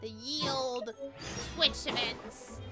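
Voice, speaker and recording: A woman livestreaming a game, chatting casually and excitably to her chat. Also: a little high, very slow. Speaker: live streamer. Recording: faint game music behind. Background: game music.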